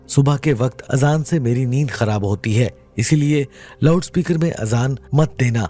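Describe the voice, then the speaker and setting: Male narrator, warm dark tone, earnest dramatic storytelling, light music bed. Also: medium pitch. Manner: earnest, addresses listeners as dear friends. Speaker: narrator of religious moral stories. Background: background music.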